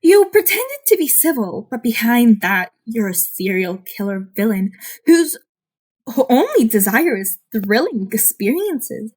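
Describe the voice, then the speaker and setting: A woman's voice: on the high side, big pitch swings, unhurried, a little mellow, slightly rough, exaggerated voice. A woman voicing an angry, exasperated heroine and mentor who accuses, in an amateur audiobook. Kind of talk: fan fiction audiobook character voice.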